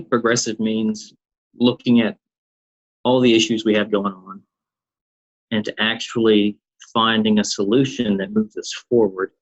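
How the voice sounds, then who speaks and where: A man's voice: lowish voice, poor audio. A man from Kentucky, a political candidate, giving plain, short interview answers with ums.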